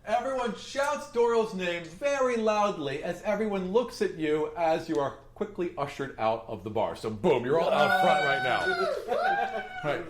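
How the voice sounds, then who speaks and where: A man running a tabletop game as game master, improvising narration in an animated, jokey way and acting out reactions. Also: high-pitched, moderate pace. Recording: echoey room, music behind.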